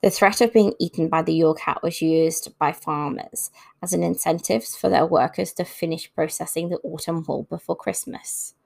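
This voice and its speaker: A woman's voice: low-pitched, steady tone, moderate pace, vocal fry, darkish tone. A woman hosting an informal talk on folklore, reading from notes and adding casual asides. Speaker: host. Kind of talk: informal scripted narration about folklore.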